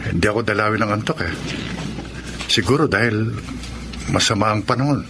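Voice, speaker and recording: A man's voice: lowish voice, brisk, noisy background, natural voice. A man playing an uneasy, devout middle-aged seaman in a Filipino radio drama.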